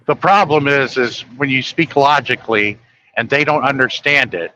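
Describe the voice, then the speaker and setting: A man's voice: medium pitch, normal speed, pushed and bright, rough recording. A man chatting casually late at night on a live stream, blunt and griping, swearing freely.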